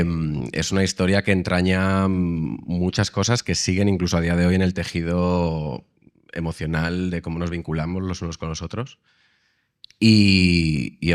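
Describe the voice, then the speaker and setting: An actor answering on a panel in a reflective way, pausing with hums. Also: male voice, low-pitched.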